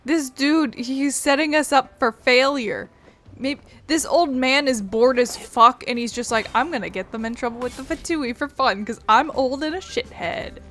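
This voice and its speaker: A woman's voice: high voice, normal speed, fairly bright tone. A woman giving casual, offhand gameplay commentary, wry and prone to swearing. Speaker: video game streamer. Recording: game music under the commentary.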